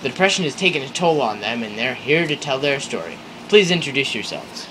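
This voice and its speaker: A man's voice: medium pitch, very animated, a little fast, noisy background, natural voice. A young man playing an earnest 1930s radio host, reading scripted interview questions in an amateur skit. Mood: formal. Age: young adult.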